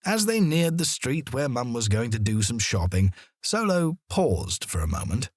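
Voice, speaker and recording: A man narrating a children's story in a deliberate, expressive storytelling manner, as an audiobook reader. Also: medium pitch, dry recording, clean studio sound.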